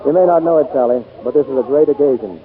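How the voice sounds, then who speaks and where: An actor playing a genial, smitten theatrical producer in 1940s radio style, gallant and placating. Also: male voice, mid-range voice, fast, close to an everyday voice. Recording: tinny 1940s radio broadcast.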